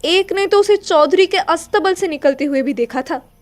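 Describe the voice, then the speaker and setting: A woman's voice: very high-pitched, moderate pace. A woman narrating a literary story in a dramatic audio-play style. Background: faint music.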